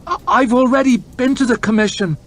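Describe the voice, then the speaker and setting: A man's voice: high voice, steady tone, moderate pace, fairly bright tone, clear, resonant tone, pitched above the performer's own voice. A man voicing a humble, gaunt male farmer who mumbles and stammers timidly, in an audiobook. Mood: pleading.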